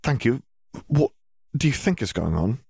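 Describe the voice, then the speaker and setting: An inquisitive, sceptical mother, as a male narrator plays her in a story. The pitch is raised and lively, and the manner is polite but probing. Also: normal speed, gravelly, breathy edge, no room sound, clean studio sound, exaggerated voice. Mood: questioning.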